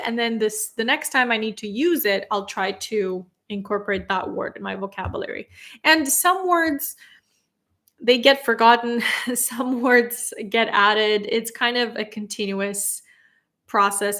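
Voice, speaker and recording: Woman teaching English live. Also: medium pitch. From Moldova. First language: Romanian. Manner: patient, drills sounds by repeating words slowly; relaxed and chatty with fillers. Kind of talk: live-stream Q&A and pronunciation lesson.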